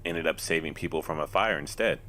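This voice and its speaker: An actor in an audio drama playing a young, earnest, mild and conflicted superhero who speaks in a measured way and halts under pressure. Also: male voice, a bass voice, pushed and bright, a little hiss or hum. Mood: calm, musing.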